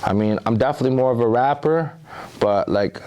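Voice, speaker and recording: A man's voice: mid-range voice, brisk, a little mellow, clean tone. A man from the Bahamas, a rapper, talking about his music in a loose, laid-back way. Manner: laid-back, loose, often says "you know". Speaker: rapper guest. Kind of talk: casual interview talk.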